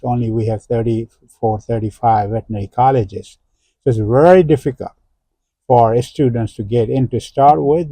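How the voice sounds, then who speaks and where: Older man, interview host, hesitant fillers, dark mellow tone, dry close mic. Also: medium pitch, dry recording. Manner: halting, many "uh" fillers, explanatory. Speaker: interview host, professor emeritus. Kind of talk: unscripted interview.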